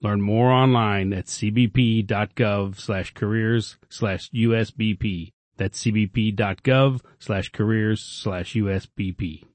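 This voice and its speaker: A man's voice: on the low side, steady tone, a little slow, no echo. A man narrating a modern scripted recruitment advertisement.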